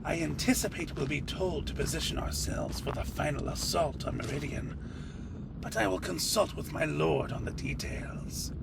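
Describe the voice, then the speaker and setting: A man's voice: fairly high pitch, moderate pace, creaky, heavy background noise. A man playing a loyal, blunt vampire bodyguard in a fan audio drama, with a dry, chiding, formal way of talking. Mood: dry, measured.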